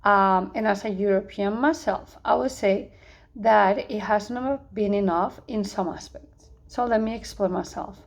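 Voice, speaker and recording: Spanish-accented young woman reading an answer. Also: medium pitch. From Spain. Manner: earnest, measured, with some hesitant restarts. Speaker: student. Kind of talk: reading a prepared answer to course questions.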